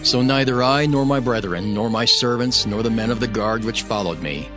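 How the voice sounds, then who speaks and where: A man playing an earnest, stern governor, narrating his own account in a solemn, measured dramatized scripture reading. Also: mid-range voice, close to an everyday voice. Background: background music.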